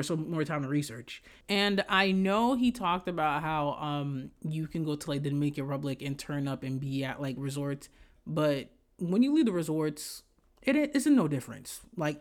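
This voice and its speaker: A podcast host from Haiti delivers a casual solo monologue in a medium-pitched voice. The talk is candid and opinionated, with a conversational flow full of slang and loose profanity.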